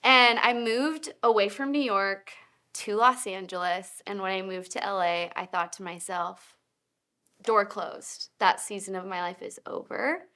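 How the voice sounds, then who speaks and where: A woman in her late twenties speaks as a guest in a relaxed faith chat, with a bright, clear tone. She tells her story in an earnest, reflective way at an even pace. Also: mid-range voice, unhurried. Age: 20s.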